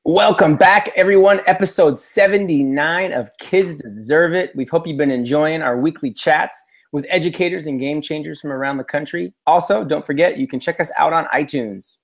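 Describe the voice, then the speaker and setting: A man's voice: fairly high pitch, normal speed, vocal fry. A man with an American accent, co-hosting a casual education podcast. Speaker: podcast co-host, school administrator.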